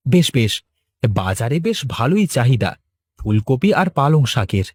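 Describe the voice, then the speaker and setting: পুরুষ কণ্ঠে প্রাণবন্ত, খসখসে গলার গ্রাম্য সবজি বিক্রেতা. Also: male voice, medium pitch, big pitch swings, unhurried, husky, no room sound. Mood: businesslike. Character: chatty, eager Bengali village vegetable seller and husband. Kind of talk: cartoon story dialogue.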